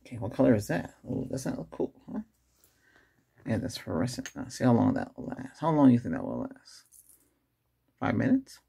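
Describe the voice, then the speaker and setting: A middle-aged man doing a soft-spoken ASMR doctor roleplay, murmuring unhurried questions close to the mic in a creaky, breathy voice. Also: medium pitch, huge pitch swings. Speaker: ASMR performer playing an ER doctor examining a patient. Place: small indoor setup with cloth backdrop.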